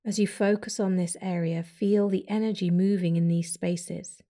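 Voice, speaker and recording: A woman leads a guided breathing meditation in a mellow, slightly low voice. She gives slow, soft, soothing instructions and leaves long pauses between them. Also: fairly even intonation.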